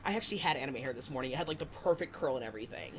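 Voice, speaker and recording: A woman in her twenties co-hosting a podcast, chatting casually with animated, swearing asides. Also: very deep voice, very fast. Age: 20s. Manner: animated, swearing, self-deprecating. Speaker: podcast co-host. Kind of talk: casual chat.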